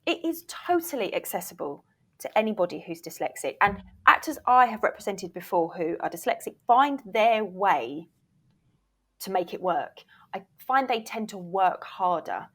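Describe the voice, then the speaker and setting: A woman's voice: mid-range voice, sing-song, normal speed, fairly bright tone. A woman speaks as a guest expert in a casual interview. She gives practical advice in an encouraging way and leans firmly on her key points. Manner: encouraging, emphatic. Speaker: interview guest, voiceover reel producer and former agent.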